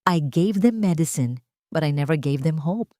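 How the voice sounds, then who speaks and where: A woman's voice: sits low, lively intonation, moderate pace, warm tone, no echo, studio quality. A woman voicing a grieving male doctor, anguished and remorseful.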